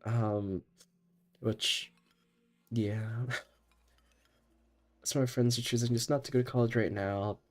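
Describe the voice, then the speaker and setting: A man's voice: mid-range voice, steady tone, slow pace, pauses often, soft background music. A young man streaming a game while sick, chatting in a rambling way and laughing often. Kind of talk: casual gaming stream chat.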